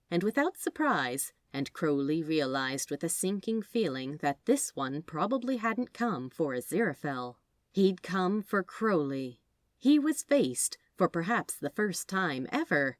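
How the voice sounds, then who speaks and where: Expressive woman narrator with swooping pitch. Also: dry recording, studio quality. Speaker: podfic narrator. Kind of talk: expressive scripted story narration.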